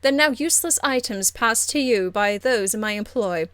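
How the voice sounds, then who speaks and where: A woman voicing a haughty, aristocratic lady mage from a fantasy game, icily polite, measured and condescending. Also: fairly high pitch, animated, a bit bright, no room sound. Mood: poised menace. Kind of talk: video game character acting.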